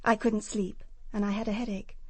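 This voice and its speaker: An English actress playing a prim, self-assured nurse giving precise testimony in a British radio crime drama. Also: female voice, mid-range voice, flat delivery, moderate pace, husky, no echo, lightly acted. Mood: composed, defensive.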